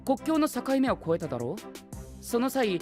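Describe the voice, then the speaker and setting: A man playing a prim, rigid young gentleman knight in an anime-style voice drama, formal and pompous, sprinkling in English exclamations. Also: extremely high pitch, very animated, normal speed, soft background music. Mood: insistent, self-assured.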